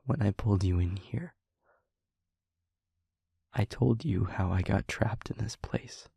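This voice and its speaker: A man playing an anguished demon in an intimate audio roleplay, speaking haltingly and hushed, pleading with someone he loves. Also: lowish voice, dry recording, studio quality, heavily acted. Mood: somber confiding. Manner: halting, hushed, breathy near-whisper, pleading. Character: anguished male demon confessing to a loved one.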